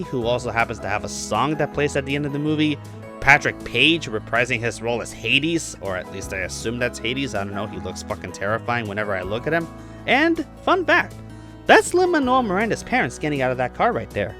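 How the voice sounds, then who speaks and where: A man's voice: medium pitch, pushed and bright. A man narrating a scripted but casual, profane film review in an emphatic, opinionated way. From Puerto Rico. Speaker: movie reviewer. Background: music behind the voice.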